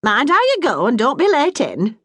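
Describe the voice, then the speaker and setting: A woman voicing a middle-aged mother, bossy but warm-hearted, in a brisk, scolding dialect. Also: high-pitched, huge pitch swings, husky, no echo, voice pushed up, full character voice. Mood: fond.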